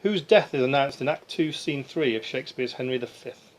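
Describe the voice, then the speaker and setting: A man's voice: medium pitch, a little fast. A man reading out quiz questions and ruling on answers in a matter-of-fact way. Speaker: quizmaster. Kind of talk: reading pub-style quiz questions aloud, adjudicating. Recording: slightly noisy quiz room recording.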